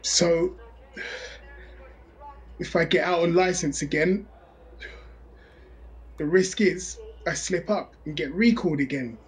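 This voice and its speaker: An actor playing a restless recalled prisoner in an audio drama monologue, plain-spoken, slangy and driven to rebuild himself. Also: male voice, a little high, slow, pauses often, rough recording. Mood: determined, frustrated. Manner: plain-spoken, slangy, counting through exercise sets.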